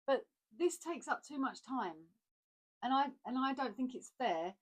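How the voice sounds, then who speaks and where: A woman's voice: high voice, animated, unhurried. A woman co-hosting a casual chat about acting, talking candidly and reflectively, often restarting her sentences. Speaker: podcast co-host.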